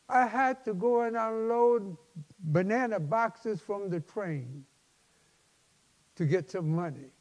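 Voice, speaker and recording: A man in his seventies, a pastor preaching a personal testimony in an emphatic, halting, folksy way. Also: high-pitched. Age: 70s. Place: large church sanctuary, at a lectern. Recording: long hall reverb.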